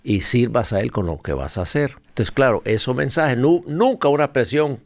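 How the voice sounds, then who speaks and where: A man's voice: medium pitch, lively intonation, moderate pace, dry recording. A middle-aged man with a Honduran Spanish accent, telling his story as a podcast guest with lots of fillers. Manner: storytelling, earnest, with frequent fillers. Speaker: guest missionary leader. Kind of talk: conversational podcast interview.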